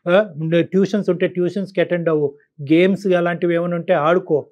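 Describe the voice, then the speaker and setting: A man's voice: high voice, flat delivery, fast, a little mellow, highs cut off. A man counselling on a call-in show, explaining his advice point by point and often repeating himself. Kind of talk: advisory talk to caller and co-host.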